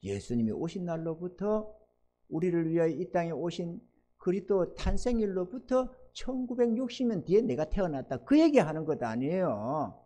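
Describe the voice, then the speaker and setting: A man in his sixties preaching a Sunday sermon as pastor, swinging between loud emphatic exhortation and soft asides, and asking the congregation for amens. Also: fairly high pitch, big pitch swings, normal speed. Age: 60s.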